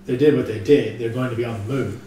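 A man's voice: mid-range voice, steady tone, quick pace, warm tone. A man talking casually as a player in a tabletop role-playing game discussion. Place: living room group session. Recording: very echoey room, distant mic, faint noise.

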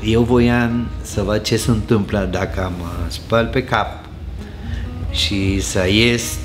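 A low-voiced man in his sixties with a Costa Rican Spanish accent tells stories about his years abroad in a relaxed, warm way, chuckling often. He sits in a restaurant bar and talks into a small lapel mic. Music plays softly behind him. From Costa Rica. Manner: warm storyteller, chuckles often, gestures with hands. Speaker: restaurant owner. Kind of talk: casual interview chat.

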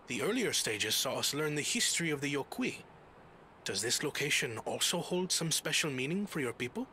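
A man's voice: a little high, very animated, moderate pace, pushed and bright, slight background noise. An actor playing an earnest, thoughtful young male scholar in a video game, articulate and formal. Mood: puzzled, curious. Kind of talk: scripted video game cutscene acting.

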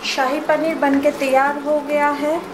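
High-voiced Indian woman, unhurried cooking host. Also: level tone, over music. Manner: simple, instructional, switches between Hindi and English. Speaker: home cook and channel host. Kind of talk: step-by-step cooking tutorial narration.

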